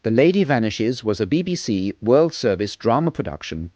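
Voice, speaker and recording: A man with a British accent reading a scripted radio announcement in a formal, measured manner, on a band-limited recording. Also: mid-range voice, sing-song, a little mellow, dry recording. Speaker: closing announcer.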